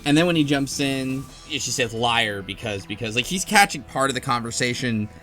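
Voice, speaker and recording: A man in a casual panel discussion, talking in a halting way with trailing asides. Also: medium pitch, animated, bright, forceful tone. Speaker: book club podcast panelist. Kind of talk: casual discussion of a video game story. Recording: music playing under the talk. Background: background music.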